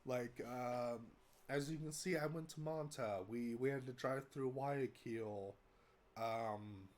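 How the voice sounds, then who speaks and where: A man's voice: mid-range voice, slow pace, darkish tone. A young American man with a cold, sounding congested, giving a casual rambling update to his viewers with lots of fillers and restarts. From United States. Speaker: vlogger. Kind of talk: casual update talk to camera.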